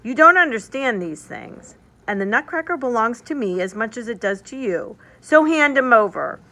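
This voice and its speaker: A woman's voice: on the high side, lively intonation, moderate pace, bright, forceful tone. A woman voicing a bossy young boy in a storybook, scornful and demanding.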